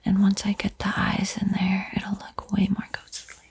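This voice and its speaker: A woman hosting an ASMR art tutorial in a soft, breathy whisper, gentle and unhurried, with frequent pauses. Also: medium pitch, completely flat delivery. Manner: slow, gentle, pausing often.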